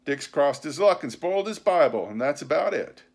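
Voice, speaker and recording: A man's voice: a little high, very animated, moderate pace, vocal fry, pitched above the performer's own voice, heavily acted. Man acting a blustering, wily old pirate sea cook. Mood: smug, triumphant. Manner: scornful, rustic seafaring dialect.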